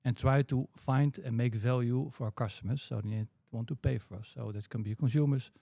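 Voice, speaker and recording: A middle-aged Dutch man with a Dutch accent, a podcast guest who chats in a relaxed way and often repeats words while he searches for them. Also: medium pitch, fairly quick, vocal fry, dry recording. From Netherlands. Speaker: guest, head of product. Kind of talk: casual podcast conversation.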